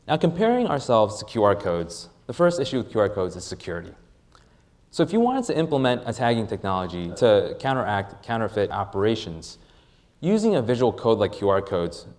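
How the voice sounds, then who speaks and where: A man delivers a rehearsed startup pitch in a lower-pitched voice. His delivery is very lively, with wide swings in intonation and deliberate emphasis on key phrases. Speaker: startup presenter. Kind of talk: rehearsed product pitch presentation.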